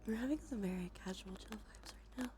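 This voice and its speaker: A woman softly whispering casual ASMR chat to livestream viewers, relaxed and unhurried, with a slightly stuffy nose. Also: mid-range voice. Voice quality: soft, breathy whisper. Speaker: livestream host. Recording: background music under close whispering. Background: music.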